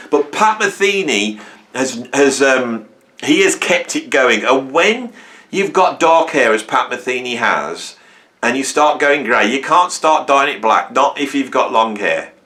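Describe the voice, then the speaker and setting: A man's voice: medium pitch, pushed and bright. A man in his sixties hosting a music channel, talking casually to camera, enthusiastic and self-mocking with lots of restarts. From Britain.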